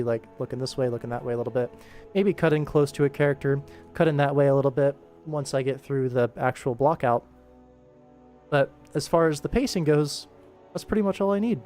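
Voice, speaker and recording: A man's voice: a little high, darkish tone. A man giving casual livestream commentary as an animation director, thinking aloud and explaining, with music behind him. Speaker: animation director streaming his work.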